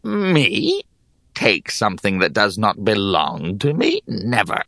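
A sly ancient hag from a fantasy storybook, speaks in a gravelly, languid drawl. The delivery is very slow and mock-polite, with huge swoops of pitch and a coolly dismissive air. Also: male voice, huge pitch swings, fairly bright tone, no room sound, studio quality, exaggerated voice. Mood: mock offended. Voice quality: creaky, gravelly. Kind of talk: audiobook character acting.

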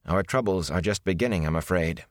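A man puts on a tired village healer woman, her voice raised well above his own and kept firm and emotionless. She is in control even while exhausted, in a dry, close storybook reading. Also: mid-range voice, moderate pace, no room sound, voice pushed up, natural voice. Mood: gentle.

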